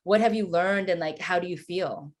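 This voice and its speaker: Casual woman host with a lowish voice. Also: normal speed. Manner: informal, affirming, encouraging. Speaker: interview host. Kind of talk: casual interview conversation.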